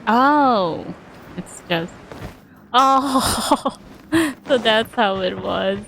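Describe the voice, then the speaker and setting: A woman's voice: a little high, animated, drawn-out words, fairly bright tone, rough recording. A woman giving casual, fragmentary commentary to herself as she plays a video game. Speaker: gamer recording a playthrough. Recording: clear game noise behind the voice.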